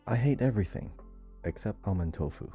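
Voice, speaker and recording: Mellow, lively-pitched man as quizmaster. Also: fairly quick, soft background music. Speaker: podcast co-host, quizmaster. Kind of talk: casual riddle quizmaster banter.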